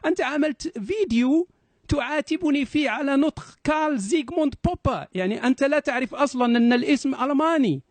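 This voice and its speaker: A man with a high-pitched voice argues in a live debate. He talks quickly, with a sarcastic, protesting edge, and the sound is close and dry. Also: lively intonation, dry recording. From Morocco.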